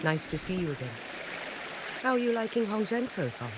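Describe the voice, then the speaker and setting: A woman voice actor playing a courteous, composed town official in a video game, speaking in formal, measured, polite phrases. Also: medium pitch, big pitch swings, very noisy, lightly acted. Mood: gracious. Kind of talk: scripted video game dialogue. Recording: loud music over the voice.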